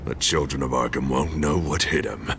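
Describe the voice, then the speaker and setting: An actor playing a self-righteous, menacing and unstable city mayor, with a gravelly voice and grandiose delivery in a video game drama. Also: male voice, rumbling low, steady tone, moderate pace, a little hiss or hum. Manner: grandiose, forceful declarations.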